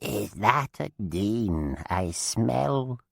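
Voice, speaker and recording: A man voicing a chained, dead old witch woman who croaks in a quivering voice full of pain. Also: lowish voice, animated, drawn-out words, fairly bright tone, no room sound, studio quality, heavily acted. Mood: whimpering.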